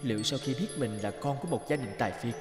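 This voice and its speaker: An earnest young man in a cartoon, voiced by an actor. His medium-pitched voice is anxious and a little hesitant, with music playing softly underneath. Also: fast, natural voice. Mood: worried musing. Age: young adult. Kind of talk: cartoon voice acting.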